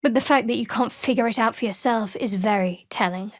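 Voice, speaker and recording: An actress voices a secretive young female cleric from a fantasy video game. Her voice sits fairly high and is recorded close and dry. She speaks in a measured, wry way, guarded yet devout. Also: no echo, lightly acted. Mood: dry, observant. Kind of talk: video game character voice lines.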